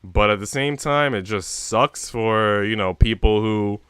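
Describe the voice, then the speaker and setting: A man's voice: fairly low pitch, a little slow, fairly bright tone, clear, resonant tone, no echo, studio quality. A man livestreaming, chatting casually with viewers in a rambling, slangy way with casual swearing. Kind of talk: casual chat with stream viewers.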